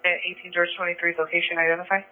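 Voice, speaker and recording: A man's voice: high-pitched, level tone, very fast, phone-quality sound. A man working as a police dispatcher, giving short radio calls over a scanner.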